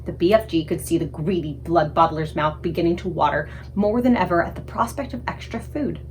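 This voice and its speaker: A woman narrating a children's storybook aloud in an expressive, scripted read-aloud style. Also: on the low side, brisk, a little hiss or hum, rough recording.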